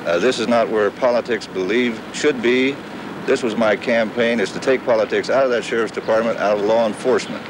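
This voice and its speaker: A man's voice: fairly low pitch, fairly quick. A man giving an unscripted interview statement for television news, recorded on old videotape. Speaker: fired sheriff's officer being interviewed. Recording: faint noise, old 1970s videotape.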